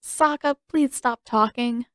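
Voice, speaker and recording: Woman voicing an earnest, high-pitched teenage girl. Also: slow pace, fairly bright tone, no room sound, studio quality. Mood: exasperated. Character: Katara, earnest, exasperated teenage girl waterbender. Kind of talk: audiobook character dialogue.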